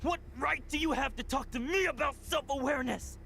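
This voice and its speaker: A woman voicing an anguished, defiant youth in a video game, halting and emotional. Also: sits high, vocal fry, sharp tone, a little hiss or hum, heavily acted. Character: anguished, defiant youth clinging to a loved one. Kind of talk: dramatic video game voice acting.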